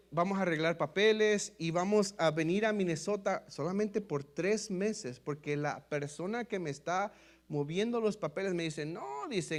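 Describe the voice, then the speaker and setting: Mexican man, pastor, high-pitched; lively sermon storytelling, Mexican Spanish accent, keeps saying "brothers". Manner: storytelling, repeatedly addressing listeners as brothers; lively, acts out quoted dialogue. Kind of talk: sermon testimony.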